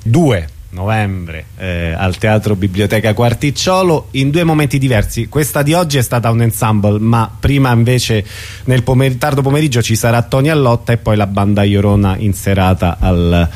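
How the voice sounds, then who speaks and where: A man's voice: fairly low pitch, normal speed, darkish tone. A man hosting an informal radio interview, hesitant and searching for his words.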